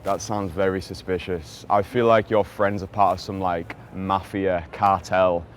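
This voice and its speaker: A man's voice: sits low, level tone, a little slow, slight background noise. A man doing a cheeky street interview outdoors, teasing and joking with a playful manner.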